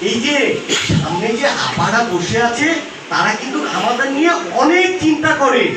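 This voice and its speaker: A man's voice: extremely high pitch, sing-song, moderate pace, noisy background. A man voicing a playful, eager puppet who coaxes and questions children in a live show. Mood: earnest, urging. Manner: exhorting, asking short checking questions. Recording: echoey, distant microphone, music behind.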